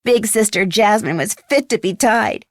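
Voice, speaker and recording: A woman's voice: medium pitch, normal speed, no room sound, clean studio sound, lightly acted. A woman with an American accent playing a witty teenage girl detective, telling how a case ended in wry, conversational game narration. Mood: wry, amused. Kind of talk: scripted game epilogue narration.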